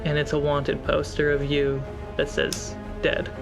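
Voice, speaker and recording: A man narrating a tabletop game as game master, with a relaxed, descriptive manner. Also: fairly high pitch, unhurried, creaky. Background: music score.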